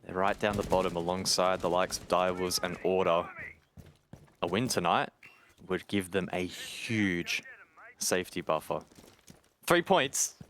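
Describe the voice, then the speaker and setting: A man's voice: sits low, measured pace, pauses often, a bit bright. A man giving live play-by-play commentary on an esports match in a conversational way. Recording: game noise, gunfire and clicks behind.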